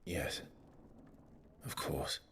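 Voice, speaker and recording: A man's voice: drawn-out words, bright, forceful tone. An actor playing a reserved young exiled nobleman who speaks in a subdued, terse way in a video game cutscene. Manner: subdued, terse, low-key delivery.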